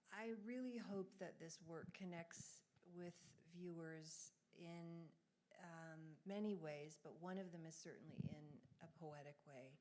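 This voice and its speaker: A woman's voice: lowish voice, darkish tone. Reflective woman painter in an artist talk. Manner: earnest, reflective, speaks with conviction. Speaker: abstract painter. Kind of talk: public artist talk answering questions. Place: gallery event space.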